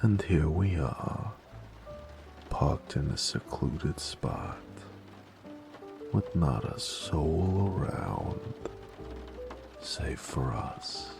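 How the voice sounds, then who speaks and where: Man acting as big noir gangster, very slow drawl, gravelly, affectionate, wisecracking, weary, intimate audio drama. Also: lively intonation, music in the background, exaggerated voice. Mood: hushed, seductive. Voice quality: deep, gravelly, breathy. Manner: slangy, drawling, sighing, teasing.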